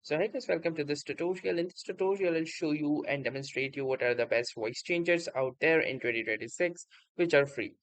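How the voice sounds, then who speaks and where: A man presenting a casual software tutorial, speaking in a halting, slightly stumbling way with repeated stock phrases. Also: fairly high pitch, no echo. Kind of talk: casual screen-recording software tutorial narration.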